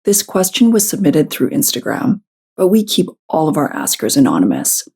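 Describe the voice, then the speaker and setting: A woman hosting a podcast in an earnest, gentle way, her voice a little hoarse from a cold. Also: low-pitched, wildly swooping pitch, normal speed. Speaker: podcast host. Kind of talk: podcast conversation and scripted intro.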